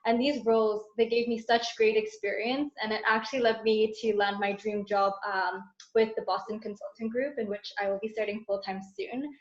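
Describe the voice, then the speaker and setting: A woman's voice: mid-range voice, steady tone, normal speed, few pauses. A young woman, a university student giving a sincere thank-you speech to donors over a video call. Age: young adult. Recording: roomy dull video call audio.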